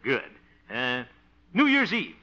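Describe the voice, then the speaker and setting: An actor playing a blustering, softhearted middle-aged bachelor in a 1950s radio comedy, flustered and theatrical, given to muttered asides. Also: male voice, on the high side, wildly swooping pitch, slow pace, heavy background noise, heavily acted. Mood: coaxing, nervous. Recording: muffled vintage radio broadcast.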